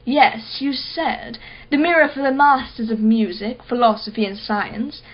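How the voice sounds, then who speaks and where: A woman's voice: a little high, steady tone, measured pace, lo-fi sound. An actress playing a wealthy, condescending and sardonic female art collector in a radio play. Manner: condescending, mocking, self-satisfied.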